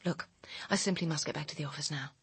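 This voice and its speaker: A bitter young British woman with a low, husky voice in a radio crime drama. She is articulate and cutting, pressing her case in a close, dry sound. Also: quick pace, no echo, exaggerated voice. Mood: urgent, persuasive. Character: bitter, persuasive young female personal assistant with secrets.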